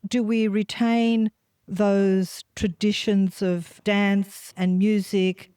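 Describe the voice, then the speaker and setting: An older woman hosts in a mid-pitched voice. She asks earnest, personal questions and slows down on the words she wants to stress. The recording is dry and close. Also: level tone, dark tone, clean tone, no room sound. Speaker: host. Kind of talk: interview questions.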